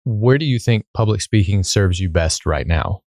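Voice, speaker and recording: Gravelly low-voiced male podcast host. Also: expressive, normal speed, darkish tone, no echo, clean studio sound. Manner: reads the introduction, then short prompts. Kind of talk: scripted intro then interview.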